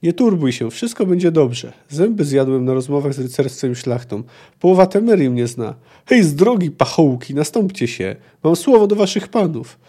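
A man's voice: fairly high pitch, big pitch swings, moderate pace, warm tone, breathy edge. A man voicing a pompous, overconfident poet in a dramatic novel reading, with a haughty, declamatory manner. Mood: cocky.

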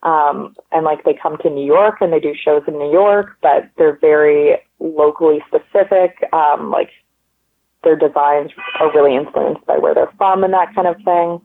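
A woman talks casually as an interview guest, with a slightly gravelly, fry-tinged voice. She rambles along upbeat, full of "like" and "um". It all comes through a thin, tinny phone line. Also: deep voice, normal speed. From Canada. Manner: rambling, many likes and ums, upbeat.

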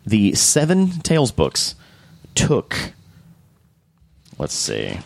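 A man with a deep, lively voice hosts a casual podcast, slightly hoarse and eager. He rambles through his points with plenty of fillers and restarts. The sound is dry and close. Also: drawn-out words, no echo. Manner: enthusiastic. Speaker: podcast host.

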